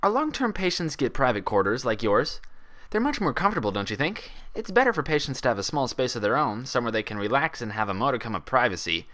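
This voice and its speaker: A man's voice: medium pitch, sing-song, quick pace, bright, forceful tone. A man voicing a cheerful pony doctor who talks in a chatty, falsely soothing, condescending way. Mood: soothing, upbeat. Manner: chatty, placating, chuckling.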